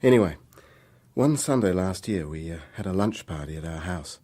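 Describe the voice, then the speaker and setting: A man's voice: sits low, lively intonation, normal speed, dark tone. An actor playing a troubled young man making a hesitant, candid confession in a radio drama. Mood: burdened, rueful.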